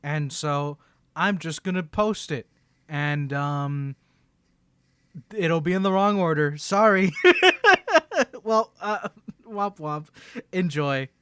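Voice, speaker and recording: A man's voice: a little high, slow, long pauses, fairly bright tone, clean tone, no echo. A man chatting casually and hesitantly, with a self-deprecating manner, as an audio creator introducing his work.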